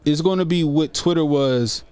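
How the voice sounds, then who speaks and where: A man's voice: on the high side, moderate pace, darkish tone, clear, resonant tone, soft background music. A man in his forties doing casual political commentary on a stream, slangy and loose, repeating himself as he talks. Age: 40s.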